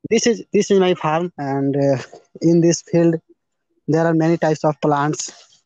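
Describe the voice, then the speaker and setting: A young man from India with an Indian accent, speaking halting, simple learner English in a casual practice conversation on a phone video call outdoors. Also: a little high, warm tone, dry recording. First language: not English. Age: young adult. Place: outdoors in a garden. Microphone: phone at arm's length, video call. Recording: dull lo-fi video call audio.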